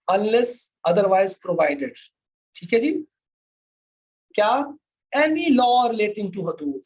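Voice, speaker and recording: A woman's voice: fairly high pitch, rough recording. A woman with a Pakistani accent lecturing on an online class, reading formal text aloud and explaining it with frequent short check-in tags. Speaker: law lecturer.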